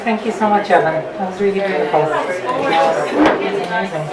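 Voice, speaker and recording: Lady mc at an open mic, chatty and encouraging, echoey bar with a big loud crowd. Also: mid-range voice, animated, normal speed, recorded from a distance. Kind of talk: informal live event hosting. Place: indoor bar venue at a live open-mic night.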